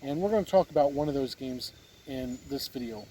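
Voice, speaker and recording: A man giving an unhurried, deliberate review talk to camera, pausing often mid-sentence. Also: mid-range voice, expressive, dark tone, poor audio. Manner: deliberate, halting delivery with pauses mid-sentence. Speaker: tabletop game reviewer. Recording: windy noise, outdoor-sounding ambience. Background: wind noise.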